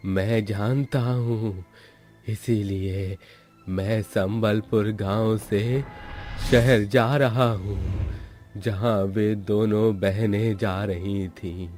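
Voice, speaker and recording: A man voicing a kindly, determined old village man in a cartoon, speaking in measured, halting phrases. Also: on the low side, steady tone, a little mellow, soft background music. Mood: concerned.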